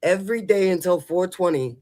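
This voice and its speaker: Higher-pitched, chatty male show host. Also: fairly quick, darkish tone. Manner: colloquial, chatty, seeks agreement. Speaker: advice call-in show host. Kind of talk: casual chat.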